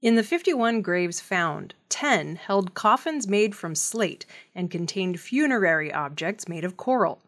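A woman's voice: lowish voice, expressive, measured pace, hardly any pauses, a bit bright. A woman reading scripted news reports as a narrator. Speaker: news reader.

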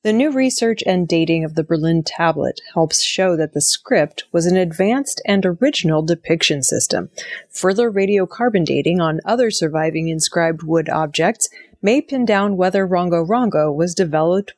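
A woman's voice: on the low side, moderate pace, no room sound, clean studio sound. A woman reading scripted news stories as a narrator. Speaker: news reader.

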